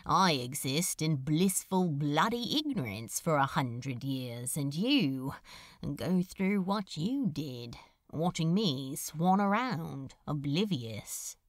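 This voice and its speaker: A woman's voice: low voice, very animated, slow pace, no echo, clean studio sound, pitched below the performer's own voice, full character voice. A woman voicing a wry, irreverent male demon in an audiobook, with a nonchalant, musing drawl. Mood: rueful.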